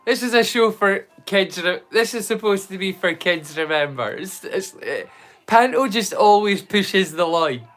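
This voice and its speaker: A man's voice: high-pitched, expressive, measured pace, few pauses, a bit bright, soft background music. A man hosting a casual livestream, chatting to viewers in a rambling, stop-start way and repeating words mid-sentence. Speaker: livestream host.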